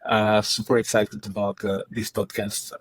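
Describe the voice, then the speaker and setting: A man's voice: fairly low pitch, little pitch movement, normal speed, breathy edge. A man from Belgium with a French accent, speaking as a podcast guest in a casual, hesitant way with many fillers. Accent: French-accented English. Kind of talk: casual interview.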